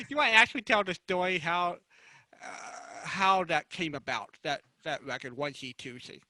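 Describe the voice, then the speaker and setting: A man moderating a casual convention panel interview, rephrasing his questions in a halting way as he goes. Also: on the high side, sharp tone, no echo.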